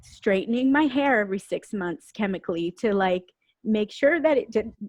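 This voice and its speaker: A woman from Puerto Rico, a guest on a podcast and an actor, telling personal stories candidly, animated and emotional, acting out the voices she quotes. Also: medium pitch, normal speed, dry recording. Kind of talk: candid conversational storytelling.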